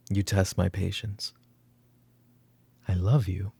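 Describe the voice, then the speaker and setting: A man playing a weary, short-tempered mafia boss father in a roleplay audio drama, with a gravelly, husky voice and a commanding, curt manner. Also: sits low, dry recording, heavily acted. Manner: commanding, curt, slipping into hushed muttering. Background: background music.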